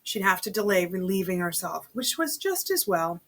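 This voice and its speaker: Chatty woman reading a novel aloud, slightly husky. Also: mid-range voice, sing-song, fairly bright tone. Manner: informal, conversational, pausing to comment to the listener. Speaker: amateur read-aloud reader.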